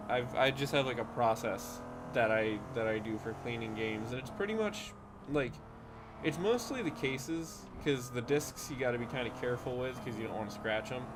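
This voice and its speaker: Male streamer, just woke up, a little nasal, loose chatty rambling over steady background noise. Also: medium pitch. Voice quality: slightly nasal. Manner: chatty, many fillers and restarts. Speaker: game streamer. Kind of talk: casual rambling stream chat.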